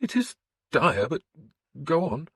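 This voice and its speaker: A man voicing a fussy, faint-hearted village priest who speaks slowly and hesitantly in a raised, husky voice. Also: a little high, big pitch swings, no echo, studio quality, pitched above the performer's own voice, heavily acted. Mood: scandalized. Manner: slow, hesitant, lifted and pleading.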